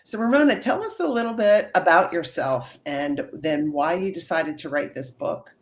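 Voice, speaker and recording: A woman's voice: fairly low pitch, lively intonation, normal speed. Chatty woman host in her fifties. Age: 50s. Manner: upbeat, chatty with frequent fillers. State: fighting a cold. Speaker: live stream host. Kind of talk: casual interview chat.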